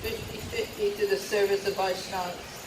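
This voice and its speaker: A woman's voice: medium pitch, level tone, fairly quick. Woman, devotional lecture, emphatic, recorded from far off, noisy background. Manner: earnest, emphatic, repeats key points. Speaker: religious teacher. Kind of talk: devotional lecture to a retreat audience. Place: retreat hall. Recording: distant voice in a roomy hall with background noise.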